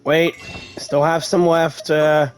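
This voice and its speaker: A man's voice: on the high side, level tone, slow pace. A man giving casual let's-play commentary as a gaming YouTuber.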